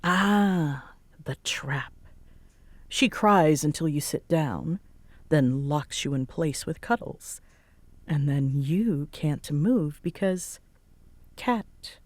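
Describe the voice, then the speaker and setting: Grumpy tsundere roommate, acted by a woman, wildly swooping pitch. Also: animated, extremely slow, no echo, exaggerated voice. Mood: teasing, amused. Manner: sarcastic, huffy, sighing exasperation with soft spots. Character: grumpy, teasing tsundere roommate, young woman home from work. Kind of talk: roleplay audio drama, conversational acting.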